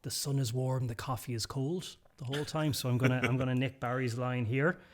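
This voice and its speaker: A man's voice: mid-range voice, level tone, fairly quick. A man co-hosting a podcast in a wooden cabin, with dry teasing banter. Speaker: podcast co-host. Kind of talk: casual chat.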